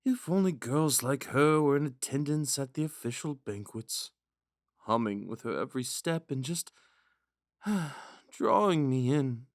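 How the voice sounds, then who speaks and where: A man's voice: mid-range voice, animated, a little slow, no room sound, clean studio sound. Man playing a wistful young prince, swooping intonation. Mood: curious, enchanted. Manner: musing, hesitant, courteous, with sighs and whispered asides. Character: young prince, third in line, wistful, gentle, smitten romantic. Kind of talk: romantic audio roleplay with inner monologue.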